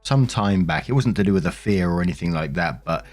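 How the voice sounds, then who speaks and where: A man's voice: low voice, lively intonation, a little fast, a little mellow, faint music behind. A man hosting and reading online stories aloud in a conversational way, with casual commentary addressed to listeners. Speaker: host narrator of online stories.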